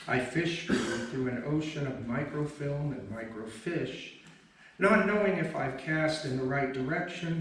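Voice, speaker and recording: A man reading poetry aloud as a guest reader at a live event. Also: medium pitch. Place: indoor event space, recorded from a distance. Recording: very echoey room, distant mic, faint noise.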